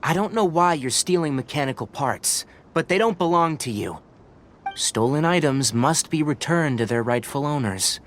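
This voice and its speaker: An actor playing a young, aloof forest watcher in a video game, speaking precisely and analytically. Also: male voice, a little high, lively intonation, moderate pace, slight background noise, lightly acted. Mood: dry, analytical. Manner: precise, analytical, matter-of-fact. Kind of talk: video game character dialogue.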